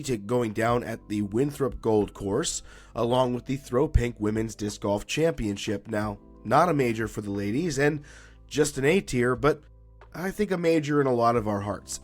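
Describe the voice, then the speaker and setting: A chatty man hosts a solo sports recap at an ordinary pitch, his voice a touch raspy and lower than usual from allergies. He talks in an upbeat, loose way and trips over the odd filler. Faint music plays underneath. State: slightly raspy, deeper from allergies. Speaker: podcast host.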